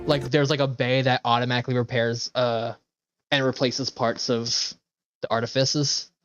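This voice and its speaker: A man's voice: medium pitch, level tone, normal speed, smooth, dry recording, soft background music. A man giving casual live game commentary as a streamer, rambling and sweary with enthusiastic asides. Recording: slightly dull, dry microphone.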